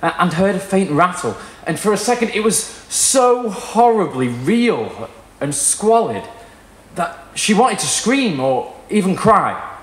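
Young guy reading a short story out loud at a live event, really lively pitch, echoey room. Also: slow pace. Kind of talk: expressive literary story reading. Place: small indoor performance room with wood panelling.

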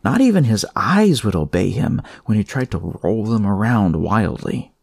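A man narrating a horror story dramatically, in deliberate, suspenseful short phrases. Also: on the low side, very animated, creaky, darkish tone, slightly rough, no echo, studio quality.

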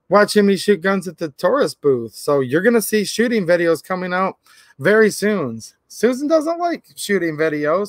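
A man in his thirties hosting a livestream, talking casually and earnestly to his viewers, his voice a little raspy from cold and pollen. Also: high-pitched, no echo, clean studio sound. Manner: earnest, rambling, repeats "literally" and "like". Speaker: channel host. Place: indoor workshop or garage.

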